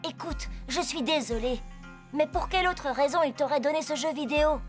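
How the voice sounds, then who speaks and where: An actress playing a determined, suspicious youngster in a cartoon dub, pointed and questioning. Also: female voice, high-pitched, moderate pace, soft background music, natural voice.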